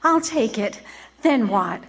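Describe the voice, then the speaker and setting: An actress playing a sweet, gentle young woman in a live radio play. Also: fairly high pitch, animated, slow, exaggerated voice. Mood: tender.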